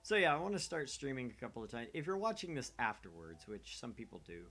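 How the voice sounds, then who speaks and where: A man with a middle-pitched voice hosts a small gaming livestream. He rambles casually, as in a chat with friends. His manner is conversational and self-deprecating. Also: big pitch swings, quick pace, bright, forceful tone.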